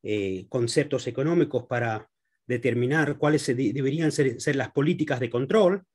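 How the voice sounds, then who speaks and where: A man who grew up in Argentina, a guest economist in an interview, speaking haltingly with many fillers and restarts. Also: mid-range voice, darkish tone, husky. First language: Spanish.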